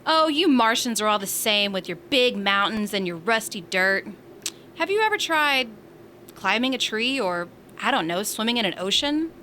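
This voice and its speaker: A woman's voice: on the high side, lively intonation, moderate pace, pushed and bright, slight background noise. A woman playing a teasing, feisty space station resident in a science fiction audio drama, full of sassy banter.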